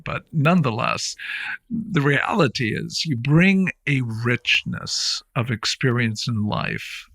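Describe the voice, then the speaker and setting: A man hosting a podcast, talking in an earnest, rambling way with many halting restarts. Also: medium pitch, vocal fry, fairly bright tone, husky, dry recording. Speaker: podcast host, founder of the center. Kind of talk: warm conversational podcast interview.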